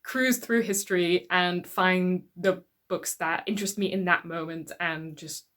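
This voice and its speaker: A woman's voice: on the low side, steady tone, unhurried, a bit bright. A woman from the Netherlands whose native language is Dutch, chatting casually and reflectively to her viewers as a book YouTuber. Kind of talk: casual talk to camera about reading.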